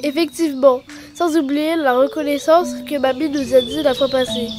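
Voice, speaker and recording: High-pitched woman voicing an eager little girl. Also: fairly even intonation, normal speed, music in the background, lightly acted. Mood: eager, earnest. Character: affectionate young girl, cartoon daughter.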